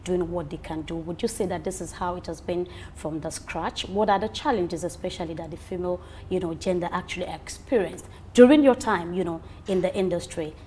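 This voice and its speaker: A woman's voice: on the low side, brisk, few pauses, dark tone, a little hiss or hum. A woman interviewer asking questions in a conversational way. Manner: conversational, with frequent "you know" fillers.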